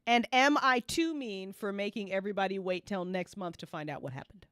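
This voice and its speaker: A woman's voice: mid-range voice, a little fast, fairly bright tone, no room sound, clean studio sound. A woman hosting a casual after-show chat, playful and gushing, repeating phrases for emphasis as she questions her guests. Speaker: host and show creator.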